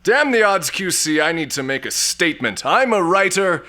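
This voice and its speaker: A man's voice: high voice, very animated, moderate pace, sharp tone, full character voice. A man playing a pompous, boozy, womanizing space lord in a comic sci-fi audio drama, with haughty, theatrical bluster and old-fashioned exclamations. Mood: haughty, irritated.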